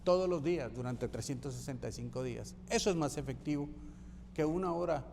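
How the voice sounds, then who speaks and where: Pastor preaching in a hall. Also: male voice, a little high, brisk, vocal fry, darkish tone, a little hiss or hum. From Colombia. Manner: emphatic preaching, repeating key phrases for rhythm. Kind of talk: sermon. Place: church sanctuary.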